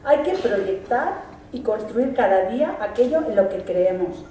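A middle-aged woman with a Spanish accent, a teacher reading a formal graduation speech from a lectern, measured and emphatic. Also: medium pitch, expressive, dark tone, distant mic, slight background noise. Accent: Spanish from Spain. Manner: measured, emphatic delivery with lists. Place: large hall stage. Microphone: stand mic at a lectern. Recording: echoey hall, recorded from afar.

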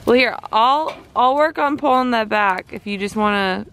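Woman, thirties, slightly high pitch, informal playful co-host, noisy outdoor camera sound. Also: normal speed, a bit bright. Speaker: vlog co-host filming the work. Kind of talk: casual chat while working. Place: outdoors at a barn work site.

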